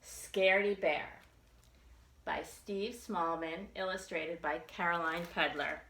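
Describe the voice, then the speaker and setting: Slow, bright-voiced woman hosting children's storytime. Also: lowish voice, slight background noise. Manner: expressive, simple phrasing for small children. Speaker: children's librarian and storytime host. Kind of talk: children's storytime reading and rhymes. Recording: echoey room.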